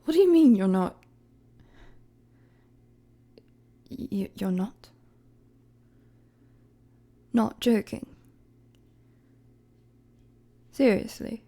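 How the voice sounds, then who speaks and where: A woman plays a young queen in an intimate audio drama, regal yet weary and guarded. Her voice is mellow and breathy, and she speaks slowly, with formal, measured words. She addresses the listener directly, firm beneath the softness. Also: on the high side, lively intonation, full character voice. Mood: flustered, disbelieving. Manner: measured, hesitant, formal wording. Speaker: audio roleplay performer.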